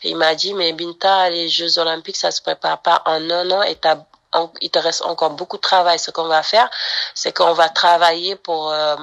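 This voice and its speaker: Woman athlete from Senegal, gravelly voice, halting interview delivery. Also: on the low side, little pitch movement, fairly bright tone. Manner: hesitant, many fillers and restarts. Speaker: fencer, olympic athlete. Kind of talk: interview, telling her story. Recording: dull lo-fi sound.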